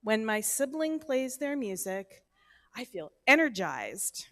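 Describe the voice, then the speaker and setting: A woman reading a picture book aloud to children at a church service, gentle and expressive. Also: on the high side, takes it slowly. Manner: gentle, expressive storybook delivery. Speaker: children's story reader. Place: church sanctuary, no microphone at first.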